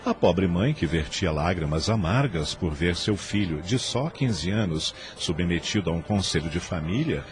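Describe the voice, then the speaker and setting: Deep-voiced male radio drama narrator. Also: expressive, moderate pace, few pauses, background noise. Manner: solemn, dramatic storytelling.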